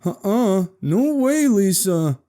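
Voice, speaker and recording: A man's voice: high-pitched, big pitch swings, slow, mellow, voice pushed up, exaggerated voice. A man voicing a frightened, stubborn little boy who pleads and protests indignantly in a horror story. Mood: pleading, urgent. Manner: pleading, indignant, childish exclamations.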